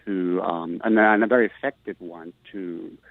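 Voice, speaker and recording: Middle-aged man, low-pitched, earnest and searching, phone-thin dry audio. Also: fairly even intonation, normal speed, creaky, no room sound, phone-quality sound. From Peru. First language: Spanish. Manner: earnest, thoughtful, restarts words while searching. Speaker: guest, Spanish professor. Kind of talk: recorded interview answers.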